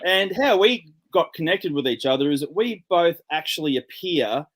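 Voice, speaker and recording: A man's voice: on the high side, animated, normal speed, fairly bright tone. A man hosting a casual interview, friendly and rambling, with many restarts. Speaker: podcast host.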